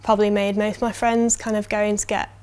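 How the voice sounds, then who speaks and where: A young woman, speaking in a level, matter-of-fact way. Her pitch stays flat and even, in a middle range. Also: fairly quick, clean tone. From Yorkshire, England. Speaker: university student, interviewee. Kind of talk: answering interview questions.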